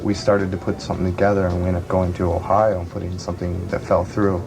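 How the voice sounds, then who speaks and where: A man who plays bass, answering casually as a guest on an old 1980s radio recording. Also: flat delivery, fast, gravelly, over music, rough recording. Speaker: bass player, interview guest.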